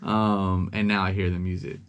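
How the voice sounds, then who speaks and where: A young man from Los Angeles, a rapper, chatting casually as an interview guest, talking in a relaxed, rambling way with many ums and repeated words. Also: low voice, moderate pace, clean tone, muffled recording.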